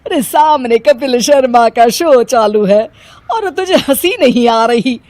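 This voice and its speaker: A woman playing a middle-aged, talkative Punjabi mother-in-law who scolds and complains theatrically in an animated story. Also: fairly high pitch, slow, dry recording. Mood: exasperated scolding. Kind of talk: animated Hindi story dialogue.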